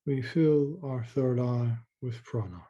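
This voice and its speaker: Slow, dark, husky-voiced man guiding meditation. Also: medium pitch, dull audio. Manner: slow, calming, with long pauses and repeated words. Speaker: guided meditation leader. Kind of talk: guided meditation.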